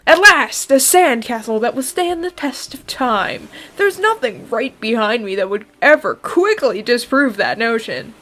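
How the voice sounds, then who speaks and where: A woman performing a comedic dub of a webcomic with a brisk, playful delivery and sudden loud outbursts. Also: on the high side, expressive, slight background noise. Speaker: fan dubber voicing a webcomic. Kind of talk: comedic comic dub, quick skits.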